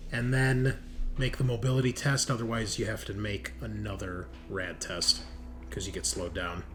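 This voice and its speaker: A man's voice: on the low side, level tone, normal speed. A man narrating a tabletop roleplaying game as game master, explaining scenes and rules in a conversational way. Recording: home recording with clear background noise.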